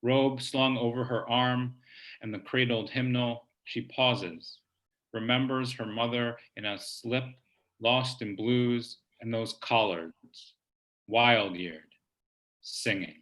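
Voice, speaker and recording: A man with an ordinary mid-range voice hosts a poetry evening from home over a video call. He talks in a loose, halting way, filling pauses with ums and starting phrases over, then reads a poem at a slower, careful pace. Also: medium pitch, rough recording. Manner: relaxed, halting, many "um"s and restarts. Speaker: host of a virtual poetry reading. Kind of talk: hosting and reading a poem aloud. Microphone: laptop mic on video call.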